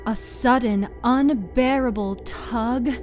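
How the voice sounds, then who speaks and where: A woman storyteller reading dramatic scripted narration in a deliberate, halting way, lingering on short phrases. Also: a little high. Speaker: storyteller, narrator of a serial fiction podcast. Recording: music under the voice. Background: music.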